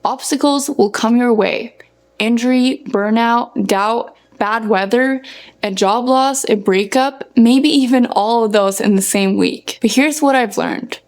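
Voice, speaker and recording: A woman's voice: on the high side, a little slow. A young woman from Norway gives a scripted motivational talk to viewers as a running coach, earnest and emphatic in her phrasing. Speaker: running coach and content creator.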